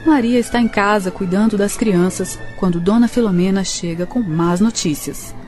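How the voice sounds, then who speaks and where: A woman's voice: mid-range voice, normal speed. A woman with a Brazilian accent reading scripted historical narration for a radio drama. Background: music.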